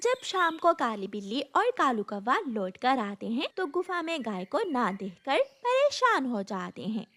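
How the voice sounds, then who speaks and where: A woman's voice: high voice, very animated, unhurried, hardly any pauses, a bit bright. A woman narrating a scripted children's cartoon story as its storyteller.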